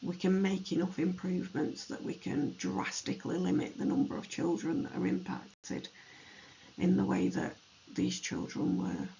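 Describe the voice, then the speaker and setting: Hoarse, gravelly podcast host; slow, reflective delivery; slightly dull recording with faint hiss. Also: medium pitch, sing-song, dark tone. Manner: slow, thoughtful, with many pauses and ums. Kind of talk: educational true-crime narration.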